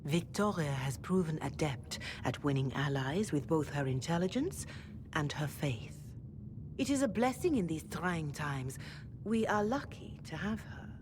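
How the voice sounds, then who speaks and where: An actress playing a dignified, devout older clergywoman in a video game, speaking in a formal, measured, deferential way. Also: deep voice, animated, noisy background. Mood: gracious, composed. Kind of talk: video game dialogue acting.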